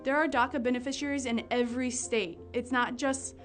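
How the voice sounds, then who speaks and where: A woman's voice: on the high side, steady tone, brisk. Earnest young woman from Mexico. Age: 20s. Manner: earnest and heartfelt, with small restarts. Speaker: interviewee. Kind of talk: documentary testimony. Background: soft music.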